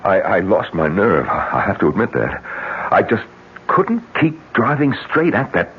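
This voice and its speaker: Deep american tough guy in an old radio play, stubborn but bighearted, muffled noisy recording. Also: huge pitch swings, unhurried, slightly rough, full character voice. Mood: shaken. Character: stubborn, tough-talking man who softens into generosity. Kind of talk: old-time American radio drama acting.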